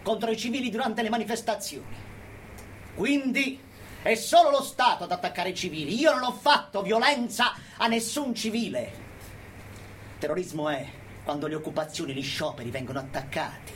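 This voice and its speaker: Over audible background noise, a man performs as an unrepentant anarchist militant addressing a court. His voice sits fairly high, and he declaims with stubborn, emphatic conviction in a political radio-theatre monologue. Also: normal speed, fairly bright tone. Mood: accusing, heated.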